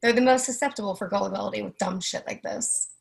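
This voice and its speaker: An actress playing a sharp, defensive middle-aged wealthy wife, sardonic and challenging, in an online screenplay reading. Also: female voice, mid-range voice, fast talker, creaky. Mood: defensive, scornful. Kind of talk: online screenplay table read.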